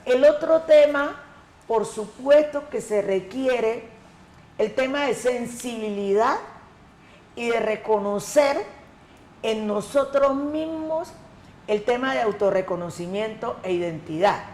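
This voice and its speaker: Slow, deliberate Colombian woman. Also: fairly high pitch, darkish tone. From Colombian Pacific coast. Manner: measured, emphatic, repeats key words. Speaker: ethnic education coordinator. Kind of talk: interview answers. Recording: echoey room, faint ambience.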